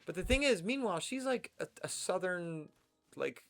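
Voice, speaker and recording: A man's voice: sits high, animated, moderate pace, fairly bright tone, faint music behind. A young man with a General American accent, rambling casually to himself while playing a video game. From United States. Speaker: streamer. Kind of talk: casual gameplay commentary.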